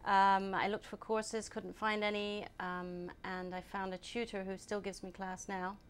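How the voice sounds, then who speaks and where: A woman born in England, answering interview questions at a steady, measured pace and often pausing on ums. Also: medium pitch, smooth. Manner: measured, hesitant with many ums. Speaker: guest, group founder.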